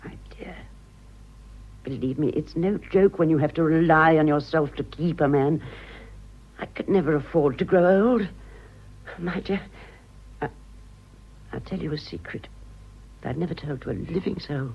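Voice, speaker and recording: An actress playing a vain, self-pitying ageing romantic in a British radio drama, confiding dramatically and halting for effect. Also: female voice, low-pitched, slightly rough, lo-fi sound. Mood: confiding, pained. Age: older.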